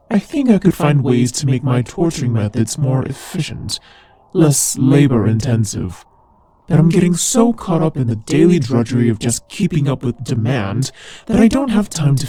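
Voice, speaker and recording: A man's voice: medium pitch, dark tone, slightly rough, no room sound. A man playing a teasing, sardonic demon ruler of hell in a scripted roleplay audio drama, with a conversational, theatrical delivery. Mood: businesslike, pleased.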